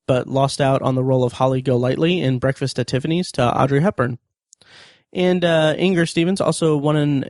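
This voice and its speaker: A man hosting a review podcast, talking through notes and opinions in a somewhat halting, hesitant way. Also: mid-range voice, darkish tone, no room sound, studio quality. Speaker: podcast host.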